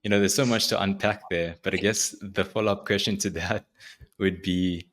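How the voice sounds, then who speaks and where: Podcast host: male, low pitch, conversational and reflective interviewer. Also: steady tone, moderate pace, fairly bright tone.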